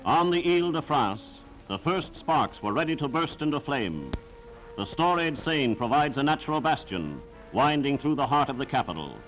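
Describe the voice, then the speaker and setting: A man's voice: mid-range voice, very animated, normal speed, a bit bright, faint music behind, low-quality recording. A man narrating a scripted 1940s wartime newsreel in a solemn, formal, declamatory style, on a vintage film soundtrack.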